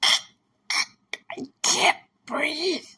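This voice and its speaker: A man playing a stubborn, wary but caring young man in a fan audio drama, with a husky, gravelly voice. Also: medium pitch, animated, a little slow, no room sound, studio quality. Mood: alarmed, struggling.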